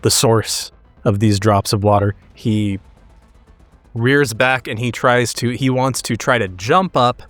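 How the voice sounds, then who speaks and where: A man's voice: mid-range voice, fairly bright tone. A man playing a tabletop game, casually describing his character's actions in a loose, joking, slangy way.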